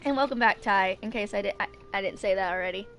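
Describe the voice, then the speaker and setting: A woman's voice: mid-range voice, fast talker, a bit bright, faint music behind. A woman in her twenties chatting casually and informally with stream viewers, rambling as she goes. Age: 20s. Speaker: livestreamer.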